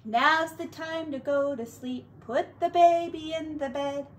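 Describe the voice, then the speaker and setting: A woman teacher doing an expressive read-aloud and sing-song play for young children, drawing out her words. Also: high voice, a little hiss or hum. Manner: expressive, drawn-out words, hushed asides.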